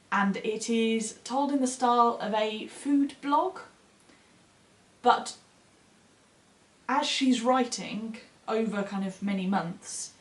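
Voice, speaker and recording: Echoey, effusive woman chatting about books. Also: mid-range voice. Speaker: book reviewer co-hosting short fiction recommendations. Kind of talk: casual enthusiastic book chat.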